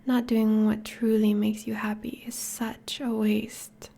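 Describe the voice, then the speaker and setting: A woman playing a possessive, teasing yet tender vampire girlfriend in an intimate, whispery ASMR roleplay, speaking softly and coaxingly. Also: on the high side, monotone, heavily acted. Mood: tender, earnest. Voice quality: breathy, whispery. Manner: slow, soft, coaxing.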